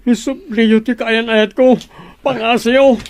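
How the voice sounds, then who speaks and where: A man's voice: extremely high pitch, steady tone, slow, gravelly, exaggerated voice. A man acting a distraught, confused young man in a melodrama, pleading like a frightened child and asking in disorientation, on a noisy, clicking recording. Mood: desperate, confused. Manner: pleading, disoriented repeated questions.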